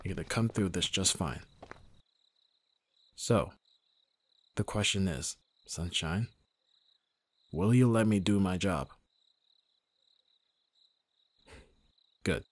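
A man playing a gruff, protective young bodyguard in an ASMR roleplay, with a husky voice and a sardonic, intimate delivery. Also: sits low, slow, long pauses, no echo. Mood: firm, guarded. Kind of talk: ASMR roleplay audio drama.

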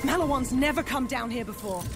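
A woman's voice: sits high, a little fast. An actress playing a brash, battle-hungry young woman resistance fighter with a British accent and cheeky, punchy delivery in a video game. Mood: determined, gritty. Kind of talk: video game combat dialogue. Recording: loud game music and gunfire.

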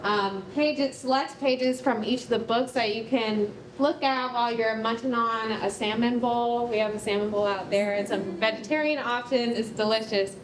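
A woman's voice: fairly high pitch, steady tone, moderate pace, hardly any pauses. A young woman hosting a community event, speaking informally to an audience. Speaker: project presenter and event host. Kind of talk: informal spoken remarks to an audience. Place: large bare concrete rehearsal hall. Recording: echoey room, clear background noise.

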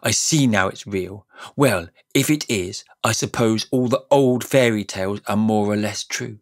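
A man's voice: on the low side, measured pace. A man voicing a blunt, indignant young schoolboy in a storybook reading. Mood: defiant, indignant. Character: angry, defiant young schoolboy. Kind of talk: audiobook character voice.

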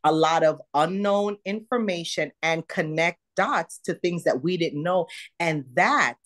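A middle-aged woman from Jamaica with a mild Jamaican accent, telling her story animatedly as a guest on a video call interview. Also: measured pace. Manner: animated, jumps high to act out her own past words. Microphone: video call webcam.

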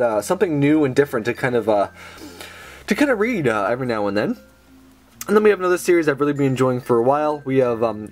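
A young man who is sick and has a sore throat, chatting casually with lots of fillers as he reviews his book haul indoors. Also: a little high. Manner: chatty, enthusiastic, many fillers. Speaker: manga haul video host. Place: indoors at a table with books.

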